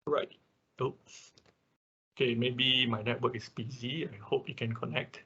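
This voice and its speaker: A man instructs an online class step by step in an informal way, often pausing to check in. His voice is bright and forceful with a creaky edge. The sound is dull and lo-fi, as from a computer microphone. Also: mid-range voice, little pitch movement. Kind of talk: hands-on technical tutorial, walking through steps.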